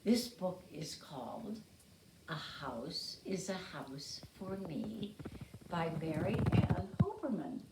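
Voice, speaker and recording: A woman reads a children's picture book aloud as a teacher would. Her voice sits a little low but moves widely in pitch. She takes it extremely slowly, adding simple explanations for small listeners. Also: sing-song, noisy background. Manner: simple explanatory asides and repeated words for young children.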